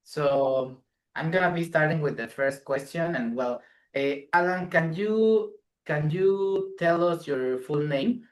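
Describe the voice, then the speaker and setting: A man's voice: a little high, slow pace. A man of 27, co-hosting a casual interview in a somewhat hesitant way. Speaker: interviewer, co-host.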